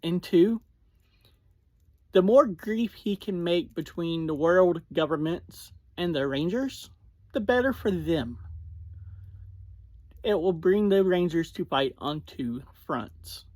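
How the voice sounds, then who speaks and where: A reviewer hosting a casual recap, talking in short, halting phrases. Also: medium pitch, lively intonation, a little mellow, dry recording. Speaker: podcast reviewer and host.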